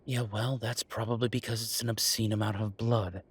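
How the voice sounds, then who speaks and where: A man playing an exasperated, firm werewolf bureaucrat in an audio roleplay, talking emphatically in a dry, husky voice. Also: on the low side, level tone, moderate pace. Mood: firm, annoyed. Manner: exasperated, emphatic, repeating himself. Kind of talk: audio roleplay acting, one side of a phone call.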